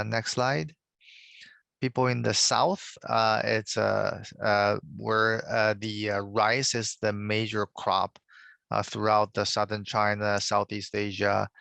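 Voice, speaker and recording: Man on a video call, gravelly and flat, lots of uhs, dry sound. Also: fairly low pitch, no room sound. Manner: halting, many fillers. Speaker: guest presenter. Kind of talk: slide presentation on holiday traditions.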